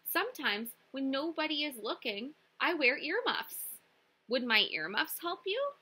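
A nervous storybook lion, voiced by a woman, confesses slowly and carefully. The voice is bright, with animated intonation. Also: sits high, voice pushed up, heavily acted. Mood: confiding. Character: nervous lion admitting a fear.

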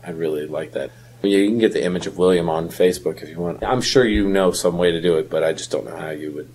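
Male podcast co-host, low-pitched and husky, conversational delivery. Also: fast talker, a little mellow, rough recording. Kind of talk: casual chat.

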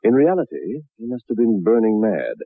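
An actor playing a wry, ominous narrator of a 1960s radio horror story, theatrical and sardonic. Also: male voice, fairly low pitch, very animated, moderate pace, slightly rough, no room sound. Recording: dull old radio recording. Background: music.

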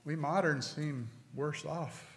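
A middle-aged man preaching a sermon from a pulpit, slow and deliberate with emphatic pauses. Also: fairly high pitch, slight background noise. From United States. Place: church sanctuary with stone wall, at a pulpit. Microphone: pulpit microphone. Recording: long hall reverb.

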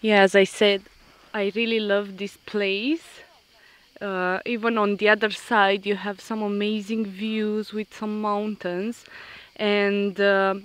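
A woman's voice: medium pitch, level tone, takes it slowly, fairly bright tone, clean tone. A woman talking casually as a travel vlogger, outdoors. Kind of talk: casual vlog narration to camera. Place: outdoors, beach and lakeside woodland.

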